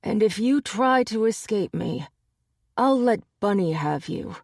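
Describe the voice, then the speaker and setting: A woman voicing a cold, dangerous adult male shapeshifter enforcer in an audiobook, speaking with low threat. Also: animated, a little slow, creaky, darkish tone, no echo, clean studio sound. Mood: menacing.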